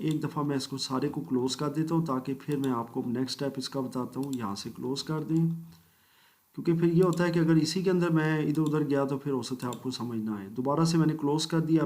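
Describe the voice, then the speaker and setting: A man teaching a screen-recorded software tutorial, walking through each step methodically with polite asides and English phrases mixed in. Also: fairly high pitch. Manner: mixes English phrases into speech. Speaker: computer course instructor.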